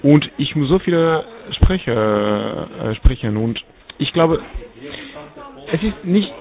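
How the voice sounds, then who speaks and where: A man's voice: a little high, lively intonation, takes it slowly. A Frenchman speaking German with a French accent, hesitating and repeating words as he looks for them in an interview. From France. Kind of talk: halting interview answers. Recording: tinny, phone-like radio sound.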